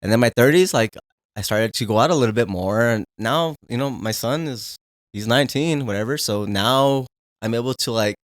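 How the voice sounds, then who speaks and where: A man's voice: mid-range voice, normal speed, no room sound, studio quality. A man in his thirties, a podcast guest, talking in a laid-back, candid way and swearing when riled. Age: 30s. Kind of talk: casual conversation.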